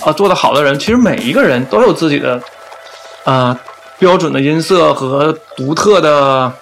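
A man's voice: fairly high pitch, lively intonation, moderate pace, creaky, fairly bright tone, soft background music. A man co-hosting a casual chat show, talking in an opinionated, critical way. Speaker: podcast co-host.

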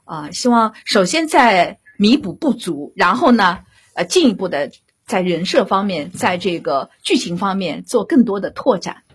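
A woman answering as an interview guest, earnest and conversational, pausing as she forms her thoughts. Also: medium pitch, sing-song, husky.

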